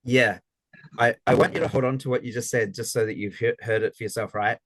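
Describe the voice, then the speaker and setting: A man's voice: medium pitch, fairly even intonation, brisk, a bit bright, a little hiss or hum, low-quality recording. A man leading an interactive group session on a video call, encouraging and affirming, often restarting his words. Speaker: workshop facilitator.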